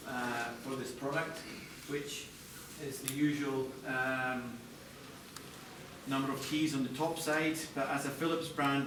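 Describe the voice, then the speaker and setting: Male presenter, design talk, measured explanatory delivery, slightly higher-middle register not needed; distant mic in a reverberant, noisy space. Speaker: chief designer presenting products. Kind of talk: product design presentation to a live audience. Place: large echoey indoor presentation space. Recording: echoey room, distant mic, background noise.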